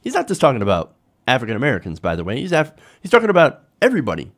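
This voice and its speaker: Man, medium pitch, very animated intonation, informal political commentary, conversational host delivery. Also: racing. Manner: conversational, punctuated with rhetorical tag questions. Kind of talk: informal news commentary to viewers.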